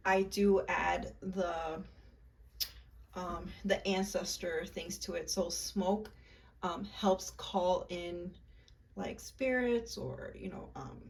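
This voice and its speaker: A young woman with a gravelly, fry-tinged voice talks through a ritual at an easy, chatty pace. She stops often with ums and likes as she explains each step. Faint noise hums under the recording. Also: mid-range voice. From United States, Puerto Rican and Peruvian family. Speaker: witchcraft vlogger. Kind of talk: casual spell tutorial.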